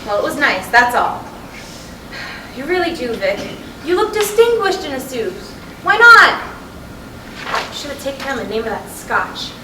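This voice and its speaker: A woman acting a restless, sharp-tongued middle-aged wife in a staged reading of a play, expressive and pointed. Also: sits high, normal speed, pauses often. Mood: pleading. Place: indoor live reading at a college. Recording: echoey room from far off, noisy.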